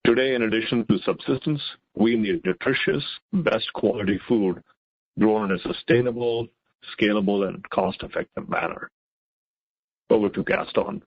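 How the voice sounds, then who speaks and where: Low-voiced man, slightly dull dry audio. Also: normal speed, creaky, husky, no room sound. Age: middle-aged. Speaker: chief science officer presenting. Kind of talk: scripted investor presentation. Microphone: conference call line.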